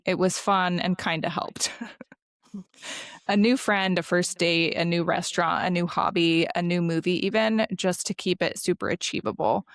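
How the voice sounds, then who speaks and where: A woman in her thirties co-hosting a podcast, chatting casually with a wry, deadpan manner and frequent laughs. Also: fairly low pitch, vocal fry, no room sound. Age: 30s. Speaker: podcast co-host. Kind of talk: casual chat.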